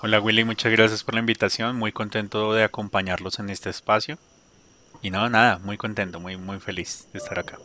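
A man in his thirties, a guest sharing his faith story on a video call through a headset, talking warmly with frequent laughs and fillers. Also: on the low side, little pitch movement, a little fast. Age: 30s. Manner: warm, laughs often, hesitant fillers. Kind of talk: personal testimony in an interview.

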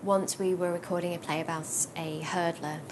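British woman, lower-pitched, over noisy rumble. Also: level tone, moderate pace. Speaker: sound engineer and sound designer. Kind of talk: informal interview about own job.

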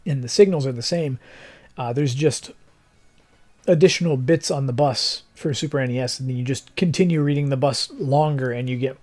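Slightly hoarse, mellow young male streamer. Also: medium pitch, moderate pace. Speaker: game streamer. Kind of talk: casual livestream chat. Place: living room, seated in armchair indoors.

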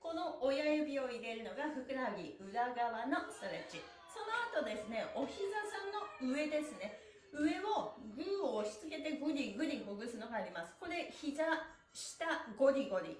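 Female exercise host, middle-aged, bright-spirited and encouraging, swooping intonation, roomy camera-mic sound. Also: high voice, sing-song, moderate pace. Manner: cheerful, encouraging, exclamatory; polite, with playful honorifics for body parts. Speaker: stretching instructor and stream host. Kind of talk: live exercise lesson to viewers. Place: small bare-walled room indoors. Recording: roomy indoor echo.

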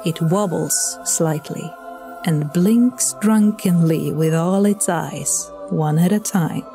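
A woman's voice: on the low side, takes it slowly, music in the background. A woman narrating a scripted story as a storyteller for an audio drama.

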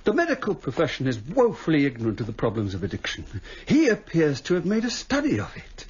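An actor playing an earnest, loyal English gentleman doctor in a radio drama, courteous and formal with an urgent edge. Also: a little high, wildly swooping pitch, fast talker, husky, highs cut off, heavily acted.

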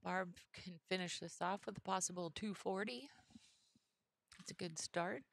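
A woman calling live bowling play-by-play on a headset, steady and dryly teasing. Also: on the low side, moderate pace, vocal fry. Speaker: commentator. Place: commentary booth at a bowling center.

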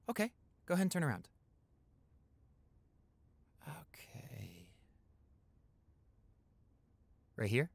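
A man's voice: lowish voice, gravelly, dry recording, heavily acted. A man playing an affectionate, easily flustered young boyfriend in an improvised intimate roleplay, chatty and tender, stammering when flustered. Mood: gentle, caring.

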